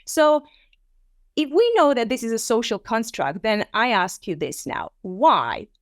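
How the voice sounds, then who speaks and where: A woman's voice: fairly high pitch, big pitch swings, measured pace, no room sound, studio quality. A woman in her thirties, born in Armenia with Armenian as her first language, giving an online presentation in a conversational way, full of fillers and acted-out quotes. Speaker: teacher trainer.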